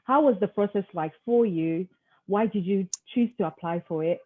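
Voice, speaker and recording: A woman moderating an online panel who speaks haltingly and stacks several questions at once. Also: mid-range voice. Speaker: webinar moderator. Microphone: video call. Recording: tinny phone-like call line.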